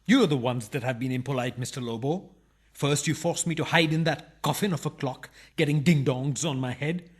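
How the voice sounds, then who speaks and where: An actor playing a cheeky, indignant burglar in a farcical radio play, protesting politely and taking things comically literally. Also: male voice, mid-range voice, normal speed, slightly rough, close to an everyday voice. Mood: indignant, proud.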